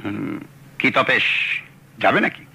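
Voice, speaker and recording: An actor playing a fussy, excitable middle-aged thriller writer in an old radio play. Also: male voice, lowish voice, takes it slowly, vocal fry, slightly rough, full character voice, poor audio. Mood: chatty. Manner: excitable, fretful, pompous. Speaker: Lalmohan Babu. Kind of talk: 1970s radio drama acting. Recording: old radio broadcast with music.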